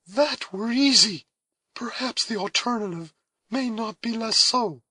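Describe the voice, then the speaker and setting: A man's voice: measured pace, pushed and bright, breathy edge, no echo, exaggerated voice. Man putting on a proud anguished young woman. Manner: high, impassioned, pleading.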